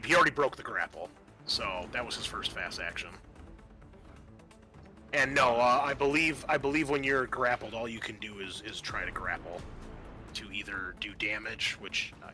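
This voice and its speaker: A man's voice: lowish voice, normal speed, pushed and bright. A man acting as game master in a tabletop roleplaying game, explaining rules casually and thinking aloud as he goes. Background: background music.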